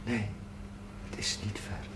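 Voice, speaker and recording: An actor playing an earnest, patient and compassionate village chaplain in a Dutch television period drama. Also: male voice, takes it slowly, fairly bright tone. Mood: hushed, consoling. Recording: faint background noise, old TV soundtrack.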